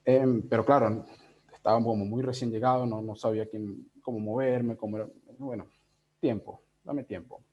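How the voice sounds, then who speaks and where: Mellow-voiced Venezuelan man, dull call audio. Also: medium pitch, fairly even intonation, normal speed. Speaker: interviewed book editor. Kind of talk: casual reflective interview talk. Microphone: video call.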